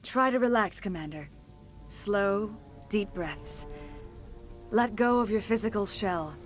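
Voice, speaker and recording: Casting: an ancient, repentant alien female disciple in a game, mid register, reverent and measured, over clear background music. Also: mid-range voice, lively intonation. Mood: hypnotic, soothing. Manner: solemn, measured, formal, reverent. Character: serene, repentant alien mystic woman, centuries old. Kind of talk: video game dialogue acting.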